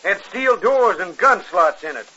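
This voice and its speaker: A rough outlaw henchman with a high, sharp, raspy voice. He is uneasy and defensive, speaking plain western talk in a muffled old radio drama. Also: expressive, measured pace, full character voice. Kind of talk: 1950s radio western acting.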